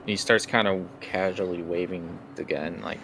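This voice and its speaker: A man with a low, gravelly voice is running a tabletop role-playing game. He narrates casually and haltingly, as if talking to friends. There is faint noise behind him. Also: steady tone. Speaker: game master.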